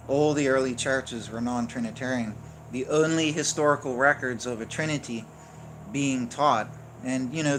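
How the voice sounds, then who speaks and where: Man, religious teacher, insistent, explanatory, mid-pitched voice, noisy background. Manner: insistent, explanatory, with frequent "right?" and "okay?". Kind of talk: unscripted teaching monologue. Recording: noticeable background noise.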